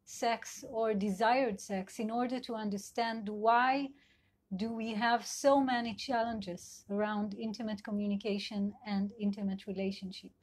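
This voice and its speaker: A middle-aged woman with an Israeli accent, a sex and couple therapist whose first language is Hebrew, giving a live online talk in a gentle, searching way with many fillers. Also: medium pitch, steady tone, unhurried. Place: at home in Israel.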